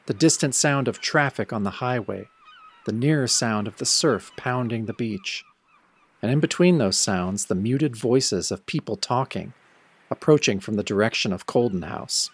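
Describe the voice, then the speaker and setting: A wry, world-weary man played by a male actor tells his own werewolf tale in the manner of an old radio horror show. His delivery is dramatic and brooding, with a sardonic edge, at a middling male pitch. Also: normal speed, breathy edge. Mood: weary, tense. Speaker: first-person narrator of an audio drama.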